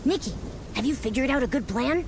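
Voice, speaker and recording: High-pitched, bubbly little companion creature. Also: female voice, lively intonation, fairly quick, vocal fry, background noise. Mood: cheeky. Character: small, cute, childlike companion creature, curious and cheeky. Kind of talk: video game cutscene acting. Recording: music behind the voice.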